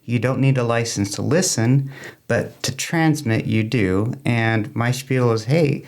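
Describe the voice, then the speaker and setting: Male interview guest, creaky voice, chatty explainer who tells anecdotes and quotes people in conversation. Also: mid-range voice, a little slow. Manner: anecdotal, acts out quoted remarks. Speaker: interview guest, amateur radio club member.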